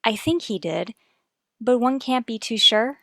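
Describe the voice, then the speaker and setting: A woman's voice: on the high side, animated, measured pace, bright, forceful tone, dry recording, heavily acted. Hesitant, worried mother, close and dry. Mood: careful. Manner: hesitant, careful, confiding. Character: worried, earnest young mother confiding about her son. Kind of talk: vintage radio soap opera acting.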